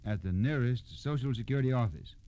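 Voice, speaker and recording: A man's voice: mid-range voice, animated, a little fast, mellow. An American man narrating from a script as an old-time radio storyteller, in a plain, explanatory way.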